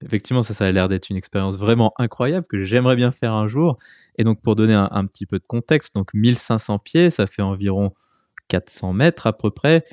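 Brief: relaxed male interviewer, warm dark tone, dry close sound. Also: on the low side, brisk, no echo. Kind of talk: interview questions.

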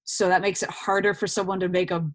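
A woman's voice: on the low side, little pitch movement, quick pace. A woman actor speaking as an interview guest, explaining her thoughts in a casual, reflective way.

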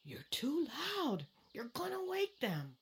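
A woman playing a nervous wife in a hushed, breathy voice in an audio drama. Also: high-pitched, huge pitch swings, slow, heavily acted. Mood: hushed, worried.

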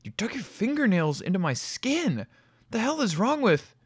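A man voicing an angry, frightened young woman who talks in sharp, demanding bursts. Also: mid-range voice, expressive, normal speed, no echo, clean studio sound, natural voice. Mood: furious. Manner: sharp, demanding, pushes back hard.

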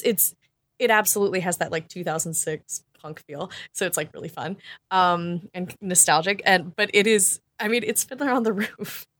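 A woman co-hosting a podcast in casual, expressive and slightly halting conversation. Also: mid-range voice, no echo. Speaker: podcast co-host. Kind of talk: casual chat.

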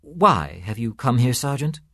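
Man playing a genial priest, very animated pitch. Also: measured pace, no room sound, studio quality, heavily acted. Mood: earnest, resolute. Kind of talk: storytelling character voice.

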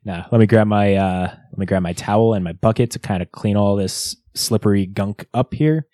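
Mellow, low-voiced male podcast co-host. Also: brisk, dry recording, studio quality. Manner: jokey, bantering. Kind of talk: casual podcast banter and event recap.

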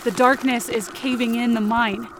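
Woman acting high-voiced, frightened, determined young heroine. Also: little pitch movement, normal speed, noisy background, lightly acted. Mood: fearful, tense. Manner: tense, breathless, intense present-tense storytelling. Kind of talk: dramatic first-person scripted narration, audio fiction. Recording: music bed under the voice. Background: dramatic music.

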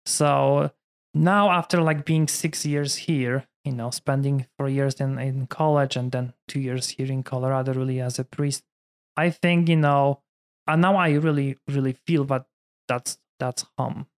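Polish-accented priest, chatty and casual. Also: male voice, medium pitch, fairly even intonation, unhurried, clear, resonant tone. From Poland. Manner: chatty, many fillers like "you know". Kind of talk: casual conversation.

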